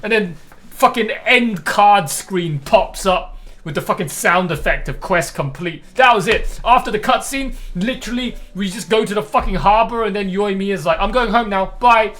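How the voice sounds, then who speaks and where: A man's voice: sits high, a bit bright. A man giving casual live game stream commentary in a blunt, slangy, sweary way. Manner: blunt, profane, slangy ranting. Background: faint game music.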